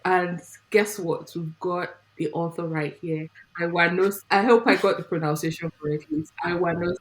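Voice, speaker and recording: A Nigerian woman hosting a book podcast, bubbly and excitable, laughing often as she talks. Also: on the low side, normal speed, low-quality recording. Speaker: podcast host.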